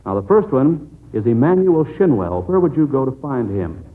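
A man's voice: medium pitch, big pitch swings, normal speed. An American man hosting a 1940s radio quiz in a folksy, encouraging manner. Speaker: quizmaster of a children's quiz show. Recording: muffled old narrow-band radio recording.

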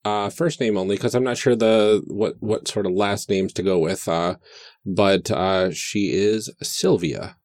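A man chatting casually while making a tabletop game character, hesitant and pausing between phrases. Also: lowish voice, a little mellow, dry recording. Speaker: podcast host and player.

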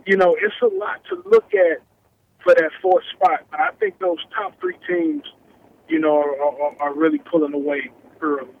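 A male football analyst calls in to a radio show over a tinny phone line. He talks in an easygoing way with hesitant fillers. His voice sits a little high. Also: sing-song, normal speed, vocal fry.

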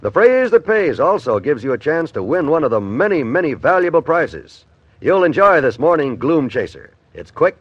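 Lively vintage American male radio announcer. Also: mid-range voice, dry recording. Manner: polished, upbeat salesmanship. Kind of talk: 1950s scripted radio announcing. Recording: dull vintage radio recording.

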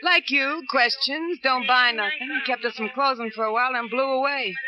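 An actress playing a brassy, cynical fortune-teller with a tough, slangy, taunting manner in an old radio crime drama. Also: female voice, high voice, normal speed, lo-fi sound, crowd noise, natural voice. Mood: sardonic. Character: brassy, cigar-smoking female fortune-teller and potion seller, cynical. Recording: noisy old radio broadcast recording.

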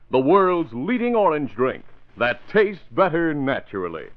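A man with an American accent announcing and narrating in classic radio style, dramatic, emphatic and polished. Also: mid-range voice. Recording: muffled vintage radio recording. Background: music.

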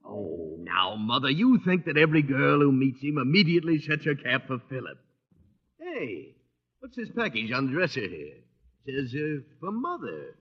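An actor playing a folksy, jovial middle-aged father with a raspy voice, cracking jokes in an old-time radio comedy. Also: medium pitch, very animated, normal speed. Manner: jovial, joking. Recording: muffled vintage radio broadcast.

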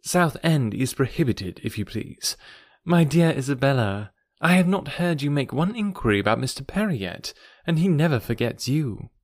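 Here is a man reading as a quick, tactful young woman from a classic novel, lightly teasing. The delivery stays close to his own voice, with a dry sound. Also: medium pitch, sing-song, dry recording. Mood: brisk teasing.

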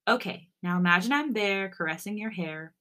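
A woman voicing a sweet, tender young boyfriend on a phone call, soft and affectionate, in a romance story. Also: medium pitch, moderate pace, natural voice. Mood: soothing.

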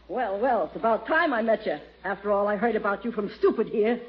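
A woman's voice: mid-range voice, expressive, moderate pace, natural voice. A woman playing a brassy, cackling working-class wife. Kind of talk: 1940s radio comedy acting. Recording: muffled old radio broadcast with hiss.

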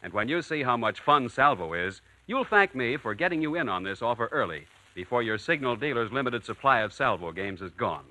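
Bright, animated 1940s radio announcer man. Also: mid-range voice, brisk, few pauses, rough recording. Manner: polished, salesman-like. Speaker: radio announcer and sponsor pitchman. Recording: vintage 1940s radio broadcast.

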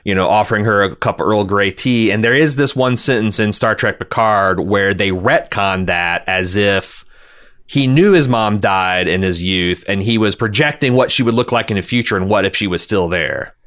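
A man hosting a podcast, discussing television casually in a halting, rambling way and often repeating words as he reasons. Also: fairly low pitch, fairly bright tone. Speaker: podcast host reading listener feedback.

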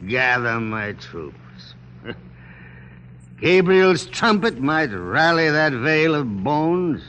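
A dull, hissy old radio drama recording of a weary but resolute male general. He speaks slowly and gravely, then swells into sweeping rhetoric with big rises and falls. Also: fairly high pitch, bright, forceful tone, exaggerated voice. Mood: bleak, despairing. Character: weary, resolute American general leading ragged troops.

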